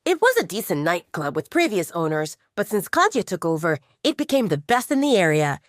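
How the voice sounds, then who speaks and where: A woman voicing a charming, caring young male werewolf bartender in an audiobook, patient and gently teasing. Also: fairly low pitch, sing-song, a little fast, no echo, clean studio sound. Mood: proud, fond. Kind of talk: audiobook character dialogue.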